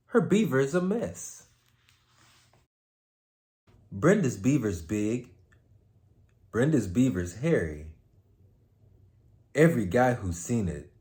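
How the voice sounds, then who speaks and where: A man hosting a story time, with a laid-back, slangy manner, moving between casual chat and expressive read-aloud. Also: on the low side. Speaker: host reading a story aloud.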